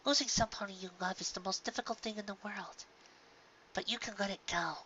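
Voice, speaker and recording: A woman voicing a gentle, grieving young pony mare in a fan audio drama, with a soft, earnest, emotional delivery. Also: mid-range voice, a little fast, close to an everyday voice. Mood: consoling. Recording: dull lo-fi home recording, clear background noise.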